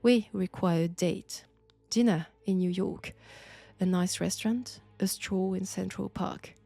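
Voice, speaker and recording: A woman with a French accent playing a sinister, flirtatious archaeology professor possessed by an ancient god, deliberate and teasing in an intimate audio roleplay. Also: mid-range voice, gravelly, mellow, faint music behind. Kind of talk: slow, intimate roleplay acting.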